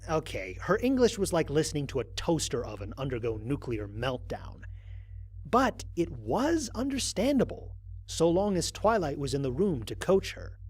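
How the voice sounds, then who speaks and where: Man acting as male space explorer, audio drama log entry, higher-pitched, animated intonation, wry and conversational, dry close recording. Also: normal speed, husky, dry recording. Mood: amused, amazed. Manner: conversational, wry, dryly amused.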